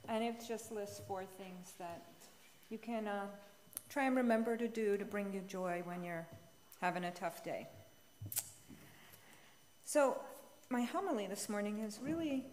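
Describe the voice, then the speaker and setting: Middle-aged female homilist, mid-pitched, reading from a script at a pulpit microphone, long church reverb. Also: measured pace, pauses often, a little mellow, slight background noise. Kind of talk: homily read aloud as a letter. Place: large church sanctuary, at the pulpit. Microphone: gooseneck pulpit microphone.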